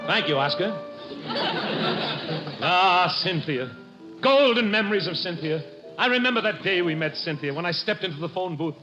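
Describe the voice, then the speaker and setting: A man's voice: high voice, animated, moderate pace, pauses often, over music. A male comedian on an old radio show, firing off gags and crooning in mock romance. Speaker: comedian host. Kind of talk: 1940s radio comedy monologue. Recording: dull old radio broadcast with orchestra.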